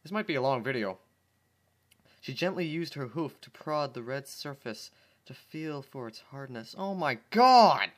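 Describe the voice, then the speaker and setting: A man's voice: mid-range voice, expressive, unhurried, fairly bright tone. Man reading aloud with sarcastic asides. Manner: sarcastic, exasperated running commentary. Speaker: channel host reading fan fiction aloud. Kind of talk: dramatic reading with comic asides.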